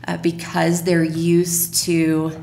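A woman's voice: lowish voice, monotone, takes it slowly, creaky, a little mellow. A woman in her thirties explaining her production work as a guest in a podcast conversation. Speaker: radio drama producer, interview guest. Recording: roomy, echoey, faint background noise.

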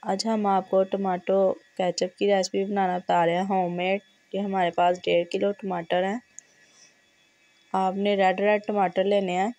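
A woman with a hoarse, fevered voice, hosting a home cooking tutorial and giving steady step-by-step instructions in a kitchen. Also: medium pitch, no echo. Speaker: cooking channel host.

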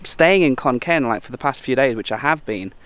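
A man's voice: expressive, brisk, a bit bright. Chatty man vlogging outdoors. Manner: chatty, talks to animals in a high playful voice. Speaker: travel vlogger. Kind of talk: casual travel vlog chat. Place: outdoors at a petting farm and a dam. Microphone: handheld camera at arm's length.

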